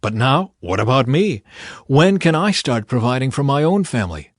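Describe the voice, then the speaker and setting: A man's voice: mid-range voice, sing-song, normal speed, dry recording, clean studio sound. A man voicing an earnest, hardworking herdsman in an emphatic Bible audiobook reading. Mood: earnest, insistent.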